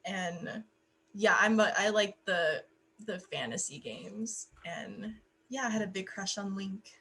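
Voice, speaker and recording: A woman's voice: medium pitch, unhurried, a bit bright, a little hiss or hum. A young adult woman co-hosting a casual podcast over a video call, chatty and rambling with lots of fillers. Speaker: podcast co-host. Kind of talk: casual chat.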